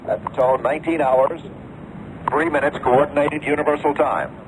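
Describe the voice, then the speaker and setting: Higher-voiced man on noisy shortwave time signal. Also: steady tone, moderate pace. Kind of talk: formulaic time announcement. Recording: noisy 1980s shortwave radio.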